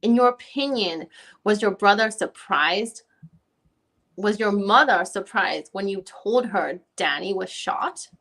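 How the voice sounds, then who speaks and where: A woman's voice: mid-range voice, slow pace, fairly bright tone. A woman reading the part of a probing lawyer questioning a witness at a deposition. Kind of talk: dramatic reading of legal questioning.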